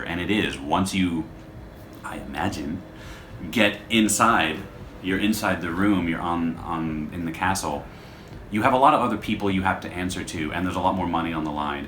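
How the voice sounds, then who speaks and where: A man's voice: low-pitched, a bit bright. A man talking off the cuff to camera as host of his show, rambling, introspective and self-deprecating. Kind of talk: casual unscripted vlog talk to camera. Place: hotel room. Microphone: camera held by another person. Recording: echoey room with faint background noise.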